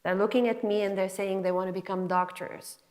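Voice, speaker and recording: A woman's voice: mid-range voice, steady tone, fairly quick, darkish tone. A middle-aged woman gives a motivational lecture to students in an emphatic, conversational, encouraging way. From Lebanon and France. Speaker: physician scientist giving a guest lecture.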